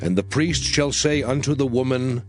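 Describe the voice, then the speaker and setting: A man's voice: medium pitch, normal speed. A man reading scripture in a formal, solemn style for a dramatized audio Bible. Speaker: scripture reader. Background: music.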